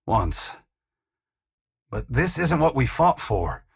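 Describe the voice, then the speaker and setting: Fantasy game saint: humble undead farmhand, weary and earnest, mid-pitched creaky rasp, plain country phrasing with solemn flourishes. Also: male voice, big pitch swings, slow pace, no echo, clean studio sound, full character voice. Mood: regretful. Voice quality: gravelly, husky. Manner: plain-spoken rustic phrasing mixed with solemn archaic oratory. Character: humble dead farmer-saint, once god-touched, wry, earnest and weary. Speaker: video game character. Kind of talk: dramatic fantasy game dialogue.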